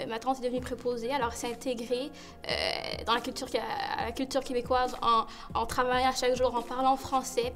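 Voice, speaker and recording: A young woman from Canada, a student, answering in an interview in a hesitant, halting way. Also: on the high side. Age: young adult. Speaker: student panelist.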